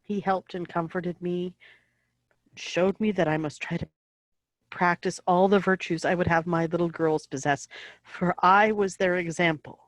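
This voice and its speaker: A woman acting a gentle, devout middle-aged mother in an audio play, giving tender, measured counsel. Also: on the low side, fairly even intonation, no echo, natural voice, low-quality recording. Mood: tender, reflective.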